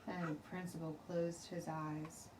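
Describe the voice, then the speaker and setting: A woman teacher narrating a children's storybook aloud in an expressive, dramatic way. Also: low-pitched, slow pace. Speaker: teacher reading stories aloud. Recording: roomy, distant mic, faint background noise.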